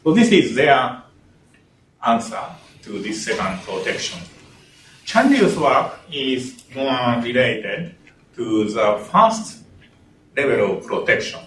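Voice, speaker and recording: An older man giving an engineering lecture in English with non-native phrasing, speaking deliberately and stressing key words. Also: medium pitch, lively intonation, fairly bright tone. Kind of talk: academic lecture. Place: lecture room. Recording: roomy, distant lecture hall capture with faint room noise.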